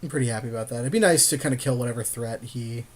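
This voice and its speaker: A man's voice: mid-range voice, rapid-fire. Laid-back man chatting through a game. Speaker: podcast co-host playing an online card game. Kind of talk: casual gameplay commentary with a partner.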